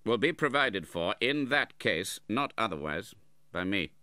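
A man's voice: lowish voice, sing-song, measured pace, pushed and bright, clean tone, dry recording, clean studio sound, heavily acted. A man voicing a stern, miserly old uncle in a storybook, contemptuous and impatient. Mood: stern, brusque. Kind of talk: dramatised classic novel reading.

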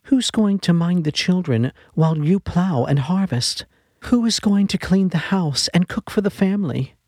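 A man voicing a dying frontier mother who pleads gently with her husband. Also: high voice, animated, normal speed, dry recording, studio quality, voice pushed up. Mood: urgent.

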